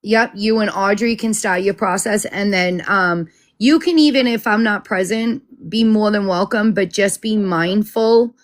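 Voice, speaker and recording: A woman's voice: mid-range voice, unhurried, hardly any pauses. A woman with a Boston accent, chatting casually on a group video call, with lots of fillers and restarts. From Massachusetts. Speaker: witch leading a spiritual group.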